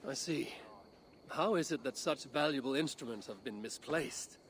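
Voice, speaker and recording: A man's voice: medium pitch, normal speed, fairly bright tone, natural voice. Self-assured Norse god for game cutscenes, male actor, mid-range voice, teasing and lofty, over audible ambience. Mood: curious. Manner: wry, eloquent, lofty. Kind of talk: video game cutscene acting. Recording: clear background ambient noise.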